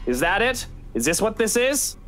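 A woman's voice: mid-range voice, big pitch swings, a bit bright. Girl putting on a snobby menacing game villain guy, sneery n theatrical, music behind. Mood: sneering, threatening. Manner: sneering, theatrical, drawn-out taunting. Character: smug, menacing rich man, contemptuous of intruders.

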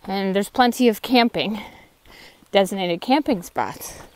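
A woman's voice: mid-range voice, animated, a little slow, darkish tone, poor audio. A woman hiker talking casually and candidly to a handheld phone camera while walking a forest trail outdoors. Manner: chatty, candid, a little tired. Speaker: thru-hiker vlogger. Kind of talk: casual walking vlog narration.

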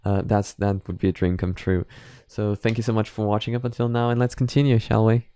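A man's voice: fairly low pitch, flat delivery, brisk, mellow, clean tone, dry recording. A man in his twenties chatting casually as a reaction channel host, repeating himself for emphasis, close to a microphone at home. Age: 20s. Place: small home room, indoors. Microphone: microphone on boom arm, close.

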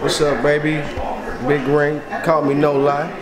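A man's voice: a little high, monotone, measured pace, a loud crowd. Tipsy slangy man in echoey busy room. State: a little tipsy. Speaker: spelling contest contestant. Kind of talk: casual slangy banter. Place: barbershop interior. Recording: echoey shop, loud people behind.

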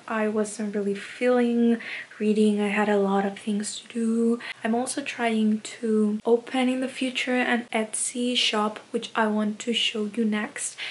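A young woman from Spain, speaking English, chatting candidly and often softly about books in her bedroom, filmed at arm's length. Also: a little high, slight background noise. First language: Spanish. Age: young adult. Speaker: book vlogger. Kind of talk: casual vlog chat. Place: bedroom at home. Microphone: on-camera mic, camera at arm's length.

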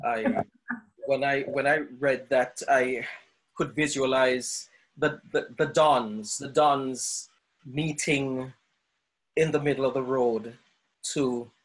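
A man hosting a video call interview, murmuring agreement and speaking with halting restarts. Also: mid-range voice, fairly even intonation, a little hiss or hum, rough recording. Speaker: host. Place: home study with bookshelves. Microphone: video call webcam.

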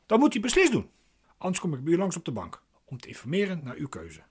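A man's voice: fairly high pitch, huge pitch swings, quick pace, breathy edge, exaggerated voice. An actor playing a glib, pedantic man explaining legal procedure in a radio play, insistent and didactic. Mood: persuasive.

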